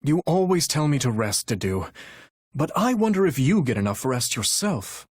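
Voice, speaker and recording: A young warrior king for a video game, gentle and earnest yet burdened. His husky voice moves expressively through courtly, measured phrases. The sound is dry and close, without room. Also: mid-range voice, very animated, no echo. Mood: relaxed, pensive. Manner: formal, measured, courtly diction. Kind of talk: video game character voice lines.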